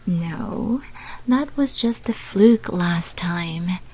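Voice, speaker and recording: Hushed, slow-talking vampire mobster played by a woman. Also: lowish voice, animated, full character voice. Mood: guarded, defensive. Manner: hushed, often dropping into whispers. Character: female vampire mafia manager, sly, menacing, flesh-eating. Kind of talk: ASMR roleplay, scripted character monologue. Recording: clearly noisy background.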